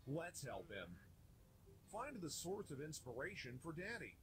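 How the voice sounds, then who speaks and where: A man's voice: fairly high pitch, big pitch swings, measured pace, noisy background. A man narrating a children's game from a script, giving simple instructions for young kids.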